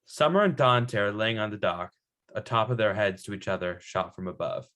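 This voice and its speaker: A man's voice: lowish voice, animated, moderate pace, fairly bright tone. A man reading screenplay stage directions as narrator at a table read.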